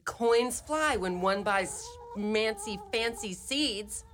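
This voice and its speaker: A woman's voice: medium pitch, lively intonation, takes it slowly, a bit bright. A woman playing a poor, guilt-tripping old mother in a radio play, with melodramatic, old-fashioned speech. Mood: reproachful. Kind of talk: live radio play acting. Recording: live studio recording, clearly noisy ambience.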